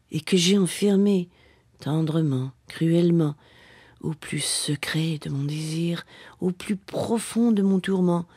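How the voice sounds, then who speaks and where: Woman reading poems real slow and husky, dramatic n heartfelt, goes breathy whispery a lot, dry close sound. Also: on the low side, no echo. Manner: expressive and impassioned, often dropping to a breathy whisper. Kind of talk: dramatic poetry recitation.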